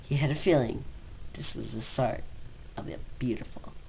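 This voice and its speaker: A man's voice: mid-range voice, moderate pace, vocal fry, darkish tone. A man giving an amateur dramatic reading of fan fiction as the story's narrator. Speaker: story reader. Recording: noisy home recording.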